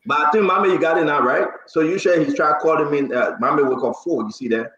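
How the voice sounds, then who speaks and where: A man's voice: a little high, fairly quick, a bit bright. A Liberian man in his forties hosting a casual talk show, speaking animatedly and repeating phrases for emphasis. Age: 40s. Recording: echoey room, slightly dull sound.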